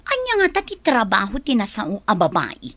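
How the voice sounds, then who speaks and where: A woman with an Ilocano Filipino accent playing a jealous, prying young woman in a comedy radio drama, suspicious and sulky, with a husky voice. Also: medium pitch, huge pitch swings, moderate pace, fairly bright tone, no echo.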